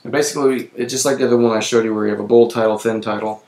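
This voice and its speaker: A man with a voice that is giving out, who clears his throat often and keeps correcting himself while walking through software on screen. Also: medium pitch, steady tone, rapid-fire, roomy sound. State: losing his voice. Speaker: web tutorial presenter. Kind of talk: screen-recorded software walkthrough.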